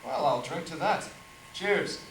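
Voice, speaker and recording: An actor playing a dry, sarcastic archbishop ghost, in a stage play recorded in an echoey room from a distance. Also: male voice, a little high, lively intonation, slow, background noise. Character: dry, sardonic English archbishop ghost, man.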